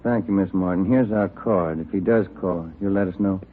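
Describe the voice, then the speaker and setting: An actor playing a steady, polite police detective in a mid-century radio crime drama, asking plain questions. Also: male voice, low voice, moderate pace. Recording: dull vintage radio recording.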